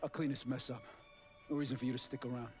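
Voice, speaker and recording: Man acting a fast-talking, menacing American mobster. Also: medium pitch, steady tone, loud music behind the voice, natural voice. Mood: curt, cynical. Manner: curt, profane, threatening. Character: hard, bitter male mobster, menacing and sardonic. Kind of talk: cinematic video game crime drama.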